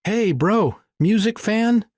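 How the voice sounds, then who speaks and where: Slick rock guitarist, male reader acting: charming social engineer, casual bro talk, lifted pitch, thriller audiobook. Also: lively intonation, takes it slowly, a little mellow, slightly rough, no echo, clean studio sound, exaggerated voice. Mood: friendly, coaxing. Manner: friendly, laid-back charm.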